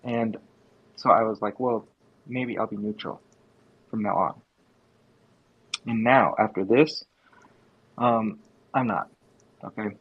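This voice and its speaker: A man's voice: fairly low pitch, fairly even intonation, slow pace, long pauses, breathy edge. A young man from Indiana speaking in a live audio space, giving earnest, emphatic political commentary with many filler ums. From Indiana, USA. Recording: dull lo-fi mic, dry.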